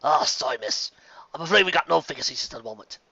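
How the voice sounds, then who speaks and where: A man voicing a fat old stallion bartender in a storybook, with a deep brassy, folksy, hospitable manner. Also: expressive, fast, vocal fry, pushed and bright, breathy edge, no room sound, lo-fi sound, heavily acted. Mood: apologetic. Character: corpulent old stallion bartender, gruff but welcoming.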